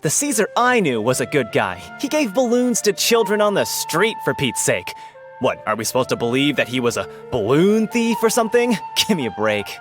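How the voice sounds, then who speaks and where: Blustery know-it-all townsman, lively pitch, clear music under him. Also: normal speed. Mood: boastful. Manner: blustery, self-assured. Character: boastful, blustery townsman gossip.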